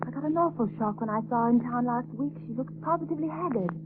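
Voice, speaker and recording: An actress in a 1930s radio drama playing a spirited, concerned society woman who talks briskly and earnestly. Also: fairly high pitch, natural voice. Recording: hissy tinny old radio broadcast.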